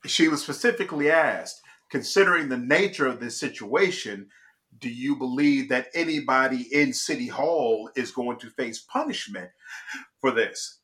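Male host, from Chicago, bright and forceful tone, emphatic conversational commentary. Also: mid-range voice, expressive, moderate pace. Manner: emphatic, restarts and checks in with "all right?". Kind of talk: casual political commentary.

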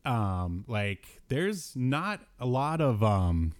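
A man's voice: medium pitch, sing-song, drawn-out words. A man co-hosting a podcast, chatting casually about cartoons in a rambling way with bits of slangy talk. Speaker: podcast co-host.

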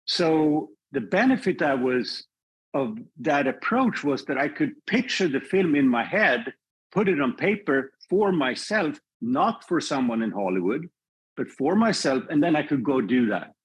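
Husky-voiced man speaking thoughtfully. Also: fairly high pitch, big pitch swings, rough recording. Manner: thoughtful, measured, with frequent restarts. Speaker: filmmaker guest. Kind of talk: reflective podcast conversation.

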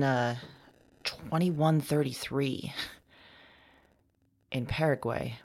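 A woman acting in an audio drama monologue as a weary, urgent woman, speaking in a halting, repetitive, intense way. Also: extremely low pitch, exaggerated voice. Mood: grave, intense. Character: weary, urgent woman speaking across time to other women. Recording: ambient music bed under the voice. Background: music.

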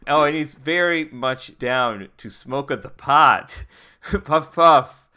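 A man's voice: on the high side, takes it slowly, bright, forceful tone, smooth. A man introducing his tabletop game character in casual, playful comic phrases, repeating bits for effect.

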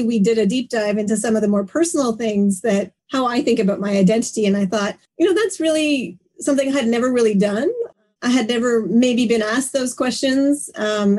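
A woman's voice: fairly high pitch, a little mellow. A woman hosting a conversational interview, speaking in reflective, wordy phrases that often halt and repeat. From Canada. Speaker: live stream host, interviewer.

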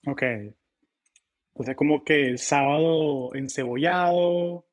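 A man hosting a podcast, asking questions in a relaxed, chatty way with frequent restarts. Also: fairly high pitch, slow pace. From Latin America. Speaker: podcast host. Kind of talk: conversational interview.

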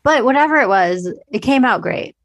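A woman's voice: a little high, animated, normal speed, no echo, clean studio sound. A woman co-hosting a podcast, chatting casually about film in an informal, conversational way peppered with filler words. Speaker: podcast co-host.

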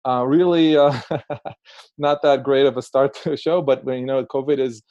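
A man's voice: mid-range voice, steady tone, a little slow, dark tone, dry recording. A man in his thirties with an Israeli accent, a company co-founder answering interview questions with many ums. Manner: hesitant, lots of ums, light laughs. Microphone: remote call. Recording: dry, dull lo-fi call audio.

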